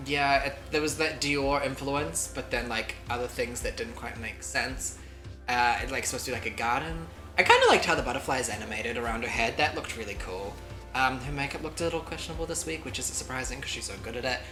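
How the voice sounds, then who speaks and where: Co-host from the UK, casual review chat, bright voice, echoey room. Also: mid-range voice, faint music behind. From United Kingdom. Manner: chatty, quick verdicts, nitpicking asides. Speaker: co-host of a drag show review.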